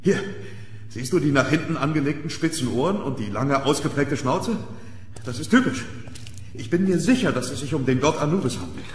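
A man playing an eager, commanding archaeologist in a horror radio play, dramatic and excitable. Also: mid-range voice, fairly quick, lightly acted. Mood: absorbed, assured. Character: eager, commanding male archaeologist exploring a tomb. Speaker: audio drama actor. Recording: roomy, long echo, background noise.

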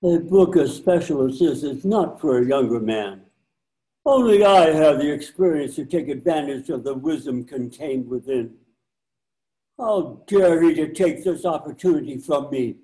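A man's voice: on the high side, animated, a little slow, mellow, low-quality recording. An older man playing a crafty, covetous old friar in amateur readers theater, with declamatory archaic speech. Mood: scheming. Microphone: computer mic on a video call.

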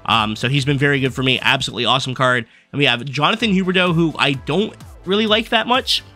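A young man giving a casual, rambling rundown of his game team from his desk, his voice going hoarse as he is losing it. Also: medium pitch, pushed and bright, soft background music. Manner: fast, rambling card-by-card rundown with fillers. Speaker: gaming YouTuber. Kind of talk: casual gameplay commentary. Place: small room at a desk.

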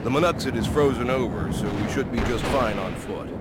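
A tough Marine sergeant in a video game, acted by a man. His mid-pitched voice is brisk and commanding, and there is very loud noise all around it. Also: lightly acted. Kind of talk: video game cutscene acting.

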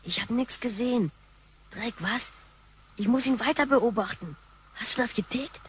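A woman's voice: on the high side, normal speed, husky. A woman playing a cheeky, impatient granddaughter in a sci-fi radio drama, with a blunt, slangy manner. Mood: conspiratorial, grumbling. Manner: brash, blunt, exclamatory.

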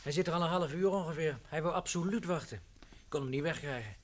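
A man's voice: fairly high pitch, quick pace, lightly acted. A man acting in a vintage radio drama as a cheeky but dutiful house servant. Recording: old radio recording, faint background noise.

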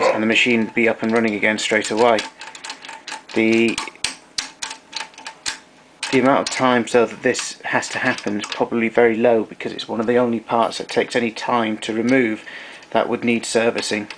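A man's voice: mid-range voice, background noise. A man giving an unscripted technical demonstration in a matter-of-fact, somewhat hesitant way. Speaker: demonstrator of vintage tape recorder.

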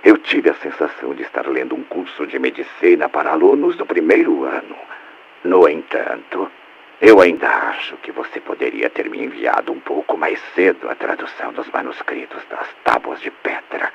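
An actor playing a condescending, sinister mastermind in a dubbed video game, gravelly, smug and mockingly polite. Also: male voice, very deep voice, expressive, moderate pace, exaggerated voice. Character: calm, condescending, sinister male mastermind villain. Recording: muffled noisy taped radio-like recording.

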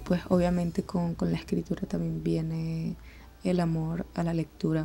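A woman's voice: lowish voice, gravelly, mellow. A woman in her twenties with a Venezuelan accent, chatting casually and ramblingly in an informal voice-over. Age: 20s. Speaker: art channel host. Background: music.

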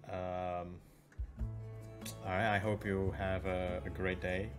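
A man's voice: low voice, level tone, takes it slowly. A young man chatting kindly with a stranger on video, reassuring her and repeating words. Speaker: video chat streamer. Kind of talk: friendly video chat with a stranger. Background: guitar music.

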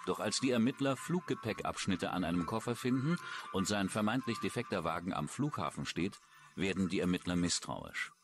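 A man's voice: low-pitched, lively intonation, fairly quick. A man reading scripted true-crime documentary narration.

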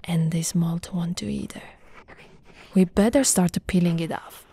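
Woman acting as snake-woman girlfriend, unhurried, doting, playful, soft and whispery, ASMR roleplay. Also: fairly low pitch, steady tone, husky, no echo. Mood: playful, wry. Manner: soft, cooing, often dropping into whispers. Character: affectionate teasing lamia girlfriend, doting and playful.

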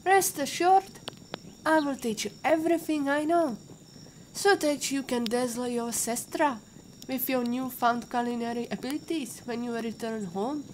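High-voiced Russian warrior mentor played by an actress. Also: female voice, measured pace, noisy background. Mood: warm, teasing. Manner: instructive, formal phrasing. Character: patient female warrior mentor, former Interpol agent, caring teacher. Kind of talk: audio drama acting.

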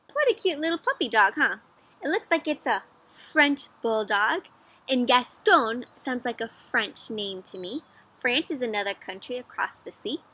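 A woman's voice: a little high, bright, forceful tone, slightly rough. A young woman doing an interactive storybook read-aloud for children, speaking expressively and putting questions to her listeners. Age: young adult. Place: outdoors in a garden or patio.

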